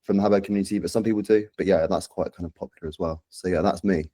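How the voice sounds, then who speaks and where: Mellow, low-voiced London man. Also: steady tone, racing, no echo, clean studio sound. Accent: London English. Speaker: podcast guest, social media and community manager. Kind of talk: casual chat.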